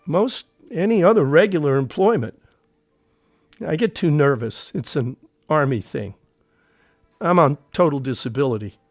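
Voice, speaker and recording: A nervous ex-soldier turned painter in an audio play. His words are terse and guarded, yet the pitch moves around a lot. The recording is close and dry. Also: animated, normal speed, no room sound, soft background music. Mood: guarded, curt. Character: nervous, guarded male army veteran working as a painter. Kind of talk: audio drama dialogue.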